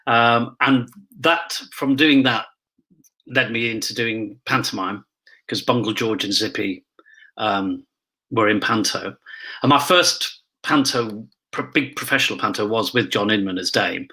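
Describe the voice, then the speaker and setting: A man's voice: on the low side, measured pace, pushed and bright. A man in his sixties chatting as an interview guest, telling career anecdotes in a theatrical, drily jokey way. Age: 60s. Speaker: actor and pantomime dame, interview guest. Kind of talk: casual anecdotal chat.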